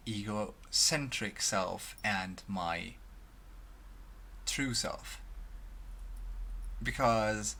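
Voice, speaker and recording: A young Swedish man talking to his webcam in English, halting with many restarts and fillers. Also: on the low side, pushed and bright. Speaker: vlogger. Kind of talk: casual talk to camera. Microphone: headphones, desk mic at webcam.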